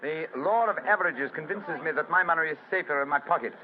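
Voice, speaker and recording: An actor playing an urbane, dryly witty English gentleman detective in an old-time radio drama. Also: a little high, fast talker, lightly acted. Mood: amused, dry. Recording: muffled, noisy vintage radio recording.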